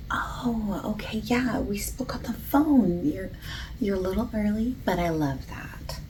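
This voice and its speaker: A woman playing a friendly, patient bakery salesperson in a soft-spoken ASMR roleplay, often breathy and near a whisper, gently overexplaining. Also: lightly acted. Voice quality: low-pitched, creaky, soft. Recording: lo-fi hissy close recording, keyboard typing.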